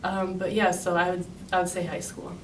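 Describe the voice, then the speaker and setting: A young woman from Chicago answers interview questions in an earnest, steady way, leaning on frequent "um" fillers. Her voice sits a little low and barely moves in pitch. She is off-mic in a reverberant room with a faint hiss behind her. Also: moderate pace, far from the mic. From Chicago, United States. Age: 20s. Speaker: interviewee, graduate student. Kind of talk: personal interview account. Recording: echoey room, speaker away from mic, faint noise.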